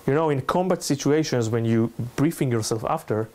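A man's voice: medium pitch, dark tone. Israeli-accented man giving a public lecture. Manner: halting non-native English, emphatic. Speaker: lecturer, NGO researcher. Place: indoor salon room, speaking to a small audience.

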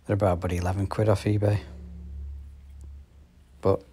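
Low-voiced plumber at work. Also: male voice, little pitch movement, normal speed, mellow, breathy edge. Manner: matter-of-fact, talks while working. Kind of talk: working vlog narration. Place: customer's home and inside his van.

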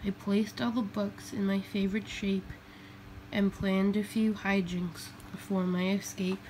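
A sly little storybook leprechaun read by a woman boasts in playful, sing-song rhyme. The delivery is slow and teasing, with faint noise on the home recording. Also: medium pitch, level tone, a little mellow, lightly acted. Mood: gleeful, taunting. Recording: clearly noisy home recording.

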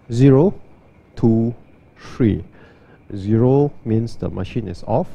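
A man, giving a steady, step-by-step walkthrough and demo of an espresso machine. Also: mid-range voice, vocal fry, dull audio. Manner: steady, step-by-step instructional delivery. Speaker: barista demonstrating an espresso machine.

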